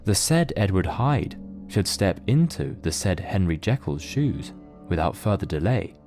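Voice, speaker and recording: A man narrating a literary mystery as scripted audio drama, in a measured, solemn storytelling manner. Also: deep voice, big pitch swings, a little mellow, faint music behind.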